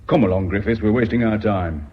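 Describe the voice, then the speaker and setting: A man's voice: fairly low pitch, brisk. An actor playing a blunt, overbearing businessman in a mid-century radio drama, curt and scornful in manner. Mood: impatient, blustering. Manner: gruff, curt, bullying. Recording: dull vintage radio recording.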